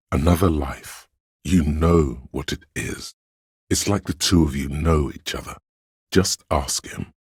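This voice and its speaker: Grave omniscient inner-voice narrator for a detective game: extremely low, heavily rasped, measured and literary, close dry sound. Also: male voice, a little mellow, no room sound, heavily acted. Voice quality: very deep gravelly husky rasp.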